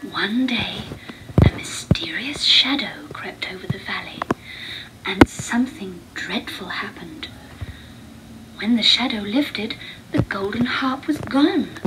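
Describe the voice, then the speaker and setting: A woman narrating a children's read-along storybook with deliberate, expressive phrasing. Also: medium pitch, very noisy.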